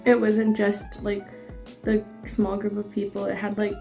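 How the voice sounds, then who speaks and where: Young woman, flat hesitant delivery. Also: mid-range voice, measured pace, vocal fry, music in the background. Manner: hesitant, many "like" fillers. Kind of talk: casual chat.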